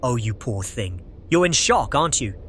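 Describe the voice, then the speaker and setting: A man playing a sweet, fragile young fellow, kindly and excitable. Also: medium pitch, normal speed. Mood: kind concern. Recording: noisy ambience behind the voice.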